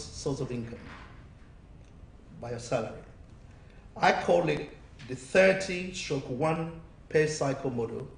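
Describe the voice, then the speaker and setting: Persuasive male business coach, roomy sound. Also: darkish tone. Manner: persuasive, repetitive pitch-style delivery. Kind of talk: interview answers. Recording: roomy, distant mic, faint noise.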